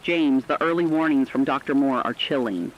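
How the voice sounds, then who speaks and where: A man's voice: mid-range voice, moderate pace, lightly acted. A man playing a solemn, articulate radio co-anchor who reports a disaster in a scripted apocalypse drama. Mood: solemn, ominous. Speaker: news co-anchor. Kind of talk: scripted radio news bulletin. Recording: muffled band-limited radio sound, rain noise.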